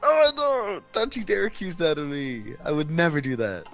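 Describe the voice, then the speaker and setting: A man's voice: a little high, huge pitch swings, moderate pace, a bit bright, soft background music. Man protesting with mock outrage. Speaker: gamer accused by his friends. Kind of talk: casual multiplayer gaming chat.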